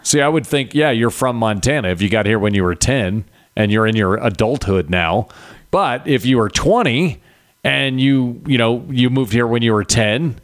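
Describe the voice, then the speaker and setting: A man's voice: medium pitch, lively intonation, normal speed. A man in his fifties from California, co-hosting a casual morning radio chat and often repeating words as he starts a thought.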